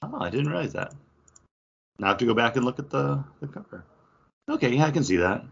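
A man's voice: mid-range voice, very animated, brisk, vocal fry, breathy edge, lo-fi sound. A man hosting a podcast and introducing topics in a casual, conversational way. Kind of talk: casual chat.

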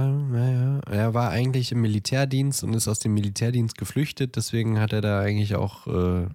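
A man's voice: lowish voice, darkish tone, no echo, studio quality. A man co-hosting a podcast, chatting casually and informally with a teasing streak. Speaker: podcast co-host.

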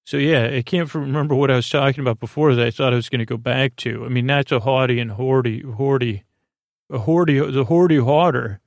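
A man with a gravelly, fry-heavy voice hosts a bedtime podcast in a close, dry recording. He speaks in an improvised ramble at a middling pitch. His sentences halt, double back and wander off into tangents. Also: dry recording. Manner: meandering, halting, self-interrupting, trails off into tangents. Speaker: podcast host.

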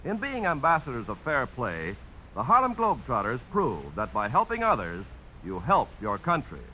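Bright, animated vintage male radio announcer. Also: mid-range voice, moderate pace, poor audio. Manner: polished, earnest. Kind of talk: 1950s scripted radio public-service announcement. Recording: faintly hissy old radio broadcast.

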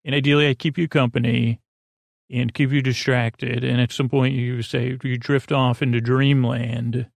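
A man hosting a sleep podcast with a meandering, goofy, halting monologue that drifts into tangents. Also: medium pitch, little pitch movement, vocal fry, dry recording. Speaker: podcast host. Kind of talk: rambling bedtime monologue introducing a sleep podcast.

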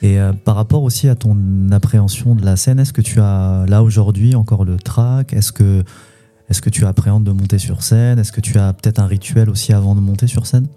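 A man co-hosting a podcast, asking several questions in a row in a relaxed way. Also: fairly low pitch, fairly quick. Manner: relaxed, asks stacked questions. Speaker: podcast co-host. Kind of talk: interview questions.

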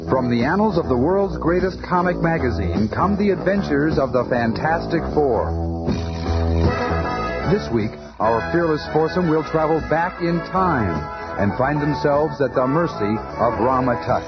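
A muffled old radio recording with loud music behind a male announcer. He proclaims the scripted introduction theatrically in a raised-pitch voice. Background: loud guitar theme music.

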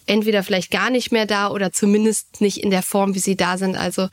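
A woman's voice: mid-range voice, flat delivery, fairly quick. A woman co-hosting a podcast, speaking articulately and appreciatively in casual conversation. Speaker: podcast co-host.

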